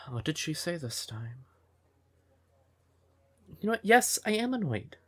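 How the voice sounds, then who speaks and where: A man playing a devoted, emotional siren boyfriend in an intimate fantasy ASMR audio roleplay, with a breathy, tender voice. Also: mid-range voice. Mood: annoyed, hurt. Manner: tender, unhurried, emotive, with pet names. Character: devoted male siren nightclub singer, affectionate boyfriend, easily exasperated.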